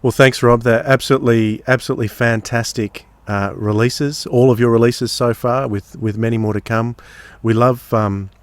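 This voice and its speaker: A man hosting a podcast in a casual, measured way, pausing mid-phrase. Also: mid-range voice, fairly even intonation, no echo. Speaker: podcast host.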